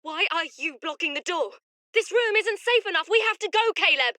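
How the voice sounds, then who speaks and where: A woman playing an anxious, sharp-tongued factory worker in a game drama, urgent and exasperated. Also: very high-pitched, lively intonation, moderate pace, dry recording, heavily acted. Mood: urgent, pleading.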